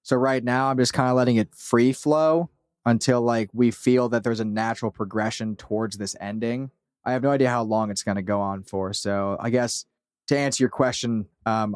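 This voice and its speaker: Man, medium pitch, informal interviewee, self-deprecating, conversational, dry close sound. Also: no room sound. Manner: informal, self-deprecating, quotes people as he talks. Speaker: podcast creator being interviewed. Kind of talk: casual interview chat.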